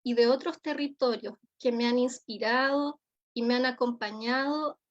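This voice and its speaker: Chilean woman, academic keynote speaker. Fairly high voice, measured and deliberate, somewhat muffled lo-fi recording. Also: steady tone. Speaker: forensic psychologist giving a keynote lecture. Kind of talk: formal conference lecture. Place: remote online conference. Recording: dull, lo-fi audio.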